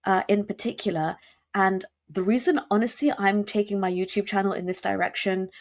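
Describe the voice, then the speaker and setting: A woman speaks as a guest in an interview. She talks emphatically and at speed, and she often restarts her sentences and fills pauses with "um" and "like". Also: medium pitch. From United Kingdom. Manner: emphatic, rapid, many fillers and restarts. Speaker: podcast guest. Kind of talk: conversational interview.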